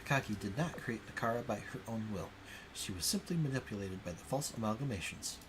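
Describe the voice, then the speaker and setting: A man's voice: fairly low pitch, lively intonation, fast talker, noisy background, lightly acted. A man voicing a stern, righteous noblewoman from a visual novel with formal, solemn delivery. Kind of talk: dramatic visual novel read-aloud. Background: game music.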